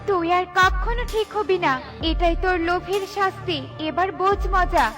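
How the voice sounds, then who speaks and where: A woman's voice: very high-pitched, unhurried, music in the background. A woman voicing a kind but stern magical fairy in a cartoon, speaking with righteous authority.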